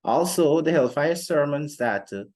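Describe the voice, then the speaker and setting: A young man giving an explanatory lecture in a formal, deliberate manner, pausing often between phrases. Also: on the high side, animated, a little mellow. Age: young adult. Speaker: literature teacher presenting a lesson. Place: indoor room, seated before a plain wall.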